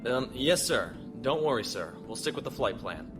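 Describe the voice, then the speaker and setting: An actor playing a steady, wry imperial officer piloting a shuttle in a science fiction audio drama. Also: male voice, medium pitch, moderate pace, close to an everyday voice. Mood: polite, businesslike. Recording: music behind the voice.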